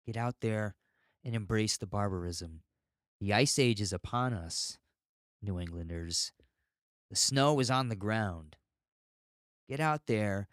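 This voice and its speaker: An American man with a fairly low voice delivers a rambling solo podcast monologue. He talks in an unfiltered, ranting way and swears freely. The sound is dry and close, with little room in it. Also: slow pace, long pauses, no echo. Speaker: podcast host.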